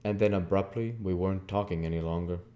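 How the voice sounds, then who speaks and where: A man's voice: deep voice, fairly even intonation, quick pace, dark tone. A man reading a classic novel aloud as narration, occasionally stumbling over a line. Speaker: reader of a video book series.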